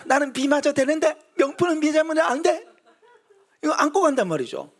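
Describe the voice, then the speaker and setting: A man's voice: extremely high pitch, sing-song, a little fast. A man who is a pastor, preaching a sermon in a storytelling way, acting out quoted voices and building to emphatic exhortation.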